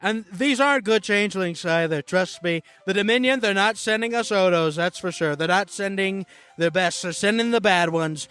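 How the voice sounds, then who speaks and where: A man's voice: high-pitched, normal speed, fairly bright tone, clear, resonant tone. A man voicing a boastful, rambling populist presidential candidate in a parody campaign ad, full of folksy, repetitive asides. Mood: alarmist, scornful. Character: bragging, rambling male populist politician running for president.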